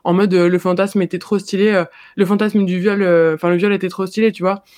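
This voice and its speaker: A young adult in casual discussion, talking hesitantly with many pauses and filler sounds. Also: mid-range voice, level tone, a little mellow, dry recording, studio quality.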